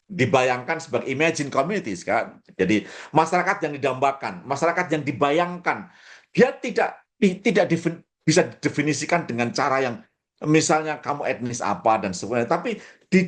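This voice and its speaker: A man explaining politics in a lecturing way, pausing often with fillers and restarting his sentences. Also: medium pitch. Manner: lecturing, many hesitations and restarts. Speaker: commentator.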